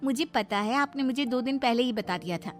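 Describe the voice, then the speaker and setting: A woman voicing a defiant, sharp-tongued young daughter-in-law in a cartoon moral story, arguing insistently. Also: on the high side, brisk, music in the background, natural voice. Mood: defensive. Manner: argumentative, insistent.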